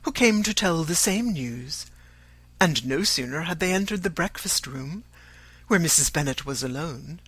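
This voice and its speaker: An audiobook narrator reading a classic novel in a formal, measured storytelling manner. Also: mid-range voice, lively intonation, fairly bright tone, slightly rough, no room sound. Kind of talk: scripted literary narration.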